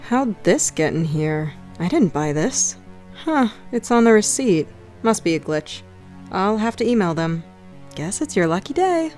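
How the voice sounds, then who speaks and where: A woman's voice: medium pitch, big pitch swings, a little slow, a little mellow, soft background music. A woman playing a practical, matter-of-fact mother in a comic dub. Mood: distracted, puzzled. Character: practical, mildly puzzled mother at home.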